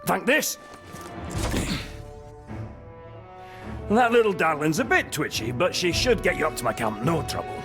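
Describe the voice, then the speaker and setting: Jokey dwarf tinkerer, bouncy voice all over the place, game music underneath. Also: male voice, a little high, sing-song, a little fast. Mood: joking. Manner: joking, teasing, offhand. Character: wisecracking male dwarf tinkerer and hunter. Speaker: inventor who helps the hero. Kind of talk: video game cutscene acting. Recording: cutscene with music behind.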